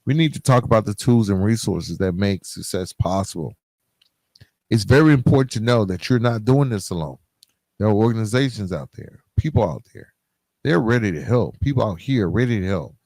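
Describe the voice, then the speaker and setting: A man hosting a podcast solo, talking informally and conversationally, thinking aloud in a halting way. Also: fairly low pitch, lively intonation, a little mellow, no room sound. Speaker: podcast host.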